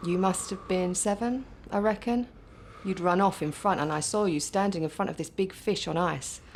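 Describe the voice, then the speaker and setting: Woman acting mum, worried, slightly creaky, faint background hiss. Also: lowish voice, moderate pace, close to an everyday voice. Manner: gentle reminiscing, later panicked. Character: worried middle-aged mother, loving but frantic. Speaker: mother of a troubled son. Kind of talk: radio drama acting.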